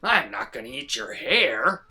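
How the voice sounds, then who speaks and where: Woman voicing a sly, hungry coyote villain, slow and menacing. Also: extremely low pitch, sing-song, bright, forceful tone, faint music behind, pitched below the performer's own voice, full character voice. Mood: scornful. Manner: drawn-out, menacing, huffing and puffing.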